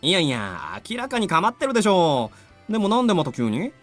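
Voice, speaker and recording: A man playing a teasing, slangy high school boy in a visual novel. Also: huge pitch swings, racing, fairly bright tone, faint music behind, full character voice. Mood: nosy. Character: teasing, casual high school classmate, male. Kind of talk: Japanese visual novel voice acting.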